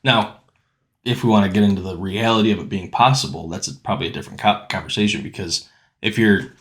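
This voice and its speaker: A man's voice: fairly low pitch, fairly even intonation, fast, fairly bright tone. An American man in his thirties chatting casually as a podcast co-host, in informal, slangy talk. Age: 30s.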